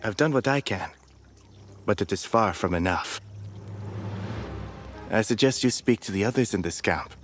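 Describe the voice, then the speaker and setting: A man's voice: on the low side, lively intonation, normal speed, pauses often, faint music behind, natural voice. A man playing a tired, grateful helper. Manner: sober, heartfelt. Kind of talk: video game cutscene acting.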